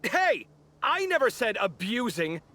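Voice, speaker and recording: A man's voice: very high-pitched, big pitch swings, measured pace, pushed and bright, slight background noise, full character voice. A man voicing a dramatic young architect in a video game, easily riled and sarcastic. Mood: indignant. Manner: theatrical, sarcastic. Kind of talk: video game character dialogue.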